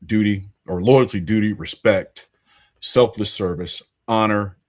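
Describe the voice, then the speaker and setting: A man's voice: fairly low pitch, measured pace. A man from Alabama hosting a political podcast, talking in an emphatic, conversational way. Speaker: podcast host.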